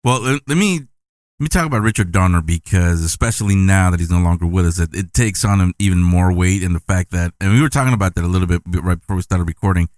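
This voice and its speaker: Deep-voiced male podcast host, dry sound. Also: fast, darkish tone, no room sound. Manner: rambling, enthusiastic fan questions. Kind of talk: casual interview chat.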